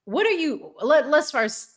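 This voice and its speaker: A woman hosting a casual talk show, deliberately leading the discussion with questions. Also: sits high, very animated.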